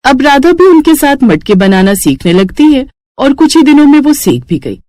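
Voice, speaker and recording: A woman's voice: high-pitched, big pitch swings, normal speed, mellow, no room sound, clean studio sound. A woman storyteller reading a scripted moral story for children.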